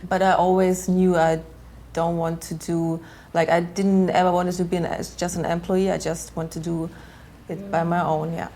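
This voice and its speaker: A woman's voice: lowish voice, little pitch movement, normal speed, few pauses, mellow. A young woman designer talking about her background in a plain, matter-of-fact way. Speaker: fashion designer. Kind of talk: casual interview.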